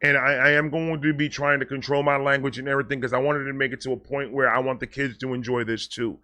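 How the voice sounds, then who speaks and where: Man, bright forceful voice, mid pitch, excitable, rambling, stammered repeats, slangy casual vlog talk. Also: fast talker. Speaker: online video creator and host.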